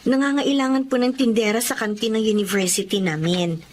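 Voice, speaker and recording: A woman's voice: on the high side, fairly even intonation, fairly quick, darkish tone, a little hiss or hum, lightly acted. An actress playing a young university student who earnestly reassures her mother.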